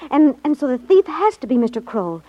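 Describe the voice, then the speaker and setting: Earnest high-voiced young radio heroine. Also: female voice, big pitch swings, brisk, dark tone, dry recording, soft background music. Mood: earnest, worried. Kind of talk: 1950s radio drama acting. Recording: dry, dull 1950s radio recording.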